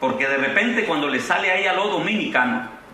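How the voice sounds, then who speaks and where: Mexican preacher in an echoing convention hall. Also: male voice, a little high, brisk, a little hiss or hum. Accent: Mexican Spanish. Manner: impassioned preaching with rising exclamations, then relaxed storytelling. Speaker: pastor. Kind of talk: sermon. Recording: echoey hall from far off.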